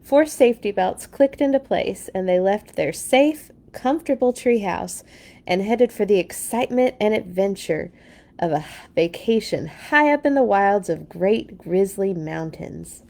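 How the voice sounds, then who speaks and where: A woman's voice: medium pitch, lively intonation, unhurried, darkish tone. A woman reading a picture book aloud to children as a storyteller, adding chatty asides of her own. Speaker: children's read-along storyteller.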